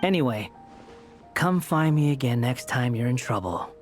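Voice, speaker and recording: A man voicing a laid-back, wry male helper with an easygoing, unhurried manner in a video game. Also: mid-range voice, animated, natural voice. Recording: game audio with faint music behind.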